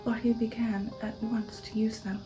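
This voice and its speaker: A woman narrating a novel aloud in a soft, partly whispered ASMR style, measured and careful. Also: a little high, flat delivery, music in the background, rough recording.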